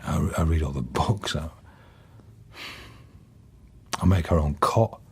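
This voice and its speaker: An actor playing a guilt-ridden, plain-spoken father in his thirties, husky and halting, in a naturalistic radio drama. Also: very deep voice, a little mellow, exaggerated voice. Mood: reflective. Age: 30s. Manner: halting, confessional.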